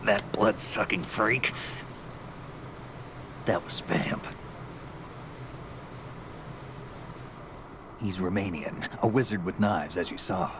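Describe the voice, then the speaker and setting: Low-voiced, world-weary military veteran. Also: male voice, a little slow, background noise. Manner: gruff, sardonic, matter-of-fact. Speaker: video game character. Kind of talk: dramatic game cutscene dialogue, exposition. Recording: very loud soundtrack music behind.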